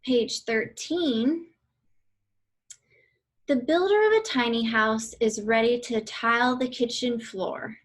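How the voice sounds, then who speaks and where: A woman reading aloud an educational book for children in a deliberate, instructional way. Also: fairly high pitch. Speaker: read-aloud reader for children.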